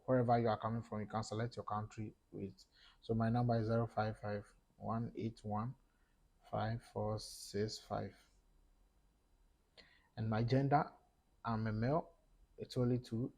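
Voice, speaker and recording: A young man from Ghana with a Ghanaian English accent, talking viewers through a screen-recorded tutorial step by step, with fillers and pauses. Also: on the low side, little pitch movement, a little mellow. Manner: casual explaining, talks through each step, halting with fillers. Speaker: tech YouTuber. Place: small room indoors.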